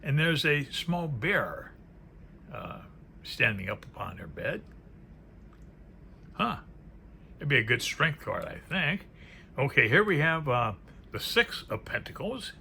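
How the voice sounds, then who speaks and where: A man's voice: medium pitch, big pitch swings, slow, gravelly, long pauses, pushed and bright. A man giving casual, unscripted commentary as he presents a card deck, musing aloud and trailing off into murmured asides. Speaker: presenter reviewing a tarot deck. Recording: faint background noise.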